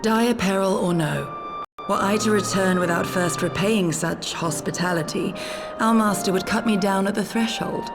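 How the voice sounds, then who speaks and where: A woman's voice: mid-range voice, close to an everyday voice. Woman acting a solemn warrior, music behind. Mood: resolute. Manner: formal, measured, resolute. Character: solemn, dutiful exiled female warrior, adult. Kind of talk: dramatic video game voice acting.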